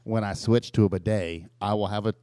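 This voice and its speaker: A man's voice: on the low side, steady tone, fairly quick, warm tone, dry recording, studio quality. A man co-hosting a podcast with crude, tongue-in-cheek banter in a casual style. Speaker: podcast co-host.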